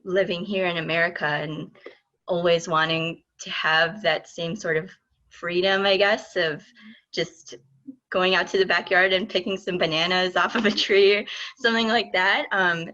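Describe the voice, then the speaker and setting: Low-voiced woman, muffled recording. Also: fairly even intonation, normal speed, sharp tone. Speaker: artist and exhibit lead on a panel. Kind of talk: reflective panel discussion. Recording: dull, muffled audio.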